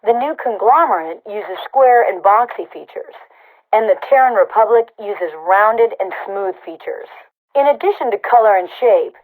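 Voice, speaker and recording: A woman playing a composed sci-fi military overseer, giving measured, authoritative tutorial instructions through a radio comms filter in a video game. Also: medium pitch, darkish tone, rough recording. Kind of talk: scripted tutorial narration.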